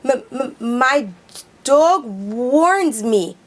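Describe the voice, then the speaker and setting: Shy young boy with a stutter, slow halting speech, storybook voice, read by a woman. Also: high-pitched, expressive, full character voice.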